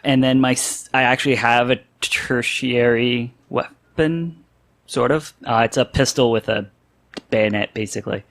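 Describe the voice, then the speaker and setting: A man's voice: medium pitch, steady tone, moderate pace, fairly bright tone. Casual, joking man in a game chat. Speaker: tabletop game player on a podcast.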